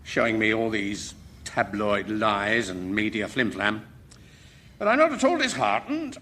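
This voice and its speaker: A man's voice: fairly low pitch, very animated, a little slow, sharp tone, a little hiss or hum, full character voice. An actor playing an earnest, idealistic and easily exasperated male professor in a radio comedy drama. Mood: hopeful.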